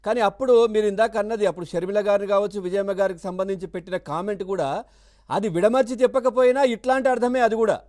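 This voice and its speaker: A male debate host with a high-pitched, mellow voice. He talks quickly as he moderates a live political discussion, interjecting and restating his points.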